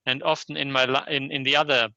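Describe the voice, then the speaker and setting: Older man, German accent, sharp bright tone, halting explanatory interview speech, frequent questioning tags, dull lo-fi recording. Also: medium pitch, little pitch movement, creaky, no echo. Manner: halting, restarts sentences, often adds a questioning "yes?". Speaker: interview guest, Chinese medicine practitioner and scholar. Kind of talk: reflective conversational interview answers.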